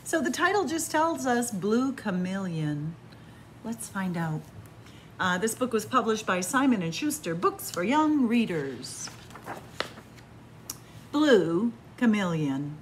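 A woman's voice: medium pitch, slow pace, long pauses. A woman reading a picture book aloud as a library story time reader, adding chatty, simple asides for young children. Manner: expressive, simple explanations for children. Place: small library room with bookshelves. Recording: light room background noise.

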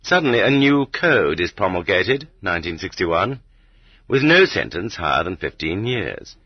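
A man narrating an audiobook in a measured, dramatic way with rhetorical emphasis, on a dull cassette recording. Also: low voice, sing-song, husky, no room sound.

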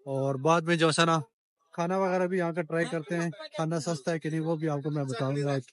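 A man's voice: on the high side, steady tone, fairly quick. Chatty man vlogging through a bazaar. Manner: chatty, bargains with shopkeepers, addresses viewers as brothers. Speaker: travel vlogger. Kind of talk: walking-tour vlog narration. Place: outdoor street market.

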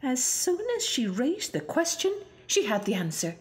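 A woman's voice: high voice, sing-song, normal speed. A woman narrating a children's storybook expressively. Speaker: storybook reader.

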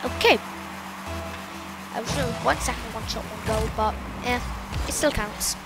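Woman, high voice, loud and excitable gameplay commentator, game music underneath. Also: normal speed. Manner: loud, excitable, exclaims often.

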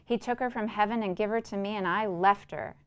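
A woman's voice: medium pitch, fairly quick, a bit bright, smooth, lightly acted. A woman voicing a sentimental middle-aged rural Southern man who preaches in a folksy, self-pitying way. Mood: strained.

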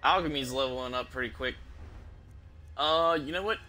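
A man's voice: medium pitch, moderate pace, bright, forceful tone. A man in his thirties doing casual, profane, slangy live commentary while he plays a game. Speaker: game streamer. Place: small room at home. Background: game music.

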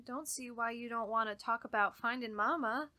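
High, bright, naive daughter acted by a woman. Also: moderate pace. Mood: earnest, hopeful. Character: naive, trusting grown daughter longing for her missing mother. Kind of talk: radio horror drama dialogue.

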